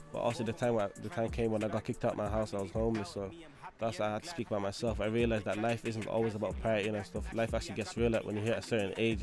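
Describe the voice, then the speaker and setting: A man, talking about his life as a rapper in a loose, chatty way. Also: lowish voice. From Angola. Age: 20s. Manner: loose and chatty, leaning on "you know what I mean" and "obviously". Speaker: rapper telling his story. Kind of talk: casual documentary talk.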